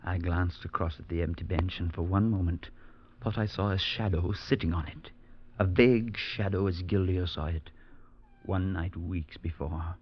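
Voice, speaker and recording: A grave, hushed priest narrator. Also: male voice, husky. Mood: hushed dread. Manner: measured, low-key, hushed. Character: calm, grave male priest narrating a ghost story. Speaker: Father Murchison, narrator. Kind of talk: 1940s radio acting. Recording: dull lo-fi 1940s radio broadcast.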